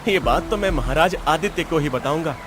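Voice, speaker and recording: Royal messenger for cartoon tale, male actor: reassuring, respectful, fairly high pitch, music underneath. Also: animated, a little fast, lightly acted. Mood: respectful, assured. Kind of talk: Hindi cartoon story voice acting.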